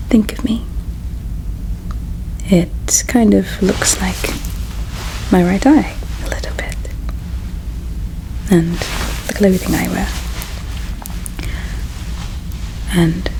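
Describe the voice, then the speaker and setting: A woman voicing a gentle, melancholy alien palace servant in an ASMR roleplay, speaking in a hushed, halting, confiding way. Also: mid-range voice, fairly even intonation, vocal fry, background noise. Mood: earnest, fond farewell. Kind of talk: ASMR roleplay, soft whispering.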